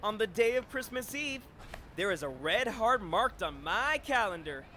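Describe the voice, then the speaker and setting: A man's voice: high-pitched, very animated, moderate pace, sharp tone, a little hiss or hum, exaggerated voice. A man acting a goofy, boastful teenage schoolboy in an anime fandub, smug and hammy.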